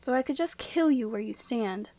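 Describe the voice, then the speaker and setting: A woman playing a proud, commanding yeti woman in a scripted ASMR roleplay, speaking in an imperious, measured and formal way. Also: a little high, lively intonation, warm tone. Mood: aloof, intrigued.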